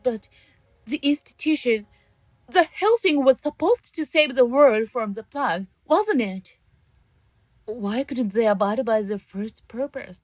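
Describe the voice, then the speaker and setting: A woman playing an earnest, soft-hearted young gatekeeper in a radio drama, speaking hesitantly. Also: a little high, lively intonation, a little slow, no room sound. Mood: troubled.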